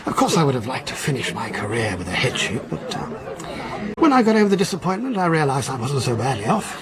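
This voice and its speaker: A man's voice: medium pitch, big pitch swings, fast talker, crowd noise, close to an everyday voice. A man playing a dry, wry older schoolmaster. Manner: dry, wry, self-assured. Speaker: second master at a school.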